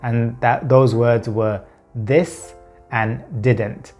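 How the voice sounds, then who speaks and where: A man in his thirties speaks openly to the camera, his sentences broken by repeated words and blocks that he leaves in. His pitch sits in an ordinary middle range. Faint music plays behind him. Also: steady tone, drawn-out words, darkish tone, muffled recording. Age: 30s. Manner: earnest, open, conversational. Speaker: vlogger. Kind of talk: personal vlog talking to camera.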